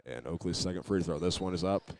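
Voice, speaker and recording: A man doing live play-by-play commentary of a high school basketball game as the announcer. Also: fast, creaky. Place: indoor high school gymnasium. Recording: noisy gym ambience behind.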